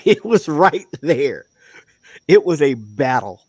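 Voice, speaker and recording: A man's voice: fairly high pitch, big pitch swings, slow, darkish tone, husky, dry recording. A man co-hosting a casual debate, arguing bluntly and insistently and repeating himself for emphasis. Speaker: panel show co-host.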